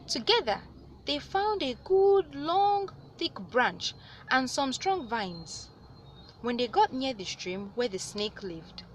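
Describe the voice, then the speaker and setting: A woman reading a story aloud as host, with animated delivery and dramatic, drawn-out emphasis on words. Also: high-pitched, a little hiss or hum. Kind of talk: expressive read-aloud storytelling.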